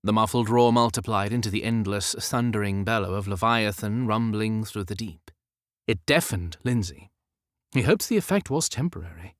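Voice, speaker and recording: A man narrating historical romance fiction as an audiobook, in measured, expressive storytelling. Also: fairly low pitch, no echo, studio quality.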